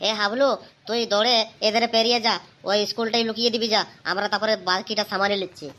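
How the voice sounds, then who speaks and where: A woman's voice: medium pitch, steady tone, quick pace, fairly bright tone, lightly acted. A woman voicing a coarse young village man in an amateur comedy cartoon, talking in crude, informal slang. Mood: reassuring.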